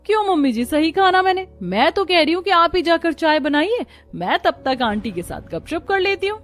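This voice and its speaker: A woman's voice: very high-pitched, big pitch swings, normal speed. A woman voicing a feisty, sarcastic young Indian daughter-in-law in a moral story cartoon, with a sassy, cutting, indignant manner. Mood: mock innocent. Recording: music behind the voice. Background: background music.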